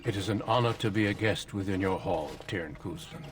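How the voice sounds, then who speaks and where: Deep, grave veteran warrior. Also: male voice, far from the mic. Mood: grave, respectful. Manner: grave, measured, polite. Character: solemn, courteous veteran warrior recruiter. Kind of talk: video game dialogue acting.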